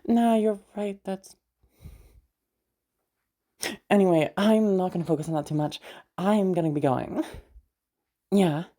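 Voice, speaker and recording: A woman playing a friendly young woman with a hidden obsessive side, in roleplay audio addressed to the listener, with a husky, hesitant delivery. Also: lowish voice, normal speed, dark tone, natural voice. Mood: playful, flustered.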